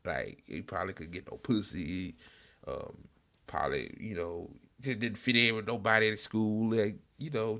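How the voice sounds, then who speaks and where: A man hosting an informal political talk show, speaking in a blunt, sarcastic, profanity-heavy way with halting repetition. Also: on the low side, gravelly, a bit bright. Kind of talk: informal political rant with commentary.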